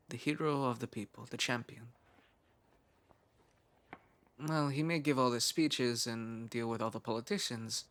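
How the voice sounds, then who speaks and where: Male actor as frail, driven young scientist for an intimate ASMR roleplay; earnest, musing, measured, mid pitch. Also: vocal fry, fairly bright tone. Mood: wry.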